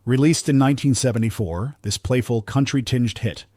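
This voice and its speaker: A man's voice: mid-range voice, moderate pace, mellow, dry recording, studio quality. A man reading a scripted music countdown as narrator, with upbeat, promotional phrasing.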